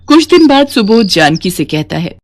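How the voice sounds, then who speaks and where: A woman tells a scripted moral tale. Her voice is mid-pitched, and she narrates in the manner of a bedtime storyteller. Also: big pitch swings, moderate pace, no echo, studio quality.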